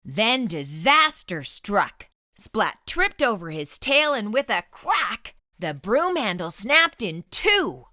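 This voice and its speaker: A woman reading a children's book aloud as a storytime host, with expressive, dramatic storytelling. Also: mid-range voice, slow, no room sound, studio quality.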